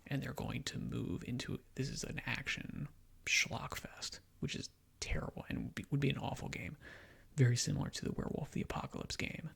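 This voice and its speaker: Male ASMR gaming host, extremely raspy and creaky, half-whispered, rambling opinion delivery. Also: medium pitch, fairly even intonation, a little fast, a bit bright. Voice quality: breathy, husky half-whisper. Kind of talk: ASMR, soft-spoken gaming commentary.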